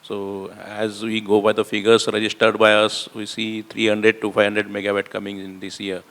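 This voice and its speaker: Flat gravelly official. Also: male voice, on the low side, moderate pace. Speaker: official from the state energy agency. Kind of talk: panel discussion. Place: conference hall.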